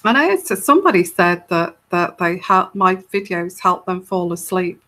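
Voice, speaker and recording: A woman with a soft, soothing voice hosting a live tarot Q&A, chatting casually and rambling with many fillers and restarts. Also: fairly low pitch, animated, moderate pace. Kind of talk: casual live-stream chat.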